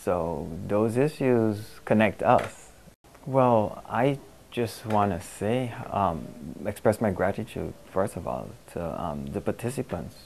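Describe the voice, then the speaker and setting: A man from Guyana who answers interview questions thoughtfully, pausing often with "um" as he searches for words. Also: on the low side, animated, vocal fry, a little mellow, slight background noise. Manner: thoughtful, halting, with many pauses and "um"s.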